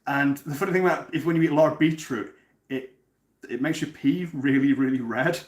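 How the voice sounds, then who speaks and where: A man's voice: on the high side, a little fast, roomy sound. A man in his thirties from North Wales, chatting casually with viewers while he cooks on a live stream. He often repeats words and restarts his sentences. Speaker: cooking stream host. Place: home kitchen.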